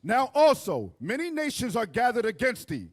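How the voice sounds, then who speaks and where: A man's voice: very high-pitched, wildly swooping pitch, normal speed. A man reading Bible verses aloud as a scripture reader, in a formal, declamatory way.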